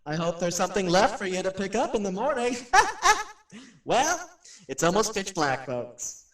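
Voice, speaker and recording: A man's voice: sits high, sing-song, normal speed, distant mic. An actor playing a wisecracking, sceptical male radio personality giving chatty, flippant on-location patter in an audio drama. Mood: jokey, chatty. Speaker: radio show host. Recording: roomy, echoing, off-mic drama recording.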